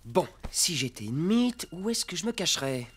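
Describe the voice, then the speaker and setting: An actor in a French cartoon dub voicing a clever, brisk, bossy fox who poses as a pet dog. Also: medium pitch, sing-song, noisy background, natural voice. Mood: calm, planning. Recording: background music over the dialogue.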